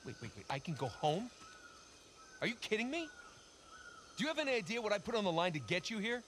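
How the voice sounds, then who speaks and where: A rugged adventurer from a video game, exasperated and wry. His voice sits fairly high for a man and swings with incredulity. Insects and outdoor ambience are clearly heard behind him. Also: very animated, fast talker, a bit bright, slight background noise. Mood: angry, incredulous. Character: rugged, exasperated adventurer, a doubting younger brother. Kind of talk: naturalistic video game acting.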